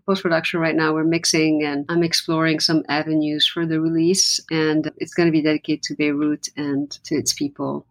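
A woman's voice: sits low, poor audio. A woman from Lebanon, a musician guest in an interview, talking in an earnest, reflective way with frequent restarts. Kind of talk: conversational interview.

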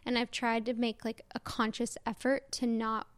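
A woman's voice: a little high, flat delivery, normal speed, no echo. A woman co-hosting a podcast, a youthful-sounding voice, chatting in a rambling way full of likes and restarts. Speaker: podcast co-host. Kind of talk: casual chat.

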